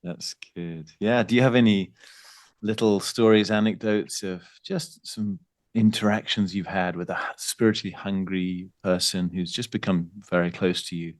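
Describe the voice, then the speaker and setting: A man's voice: low-pitched, steady tone, moderate pace, no room sound, studio quality. A man hosting a podcast interview, listening attentively and affirming his guest. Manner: attentive, affirming, frequent backchannels. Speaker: podcast host.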